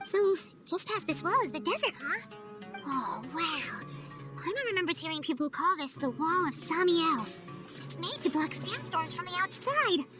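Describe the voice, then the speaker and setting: A woman voicing a small, childlike, excitable fairy companion in a video game, earnest and exclamatory. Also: very high-pitched, normal speed, music in the background. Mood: awed. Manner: refers to self in third person, exclamatory. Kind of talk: video game cutscene voice acting.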